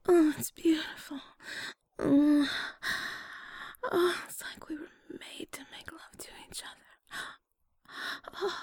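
Breathy whispering woman, slow and close. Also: sits high, fairly even intonation, no room sound. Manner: breathy, soft whispering with sighs. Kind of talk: intimate audio roleplay.

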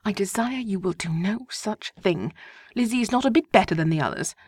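A woman voicing a dry, teasing older gentleman who speaks in a calm, understated way. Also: mid-range voice, a little fast, breathy edge, no echo.

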